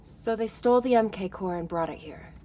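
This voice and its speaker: A woman's voice: medium pitch, fairly quick, mellow, slight background noise, lightly acted. An actress playing a sympathetic female crewmate in a video game, speaking in an earnest, emotional way.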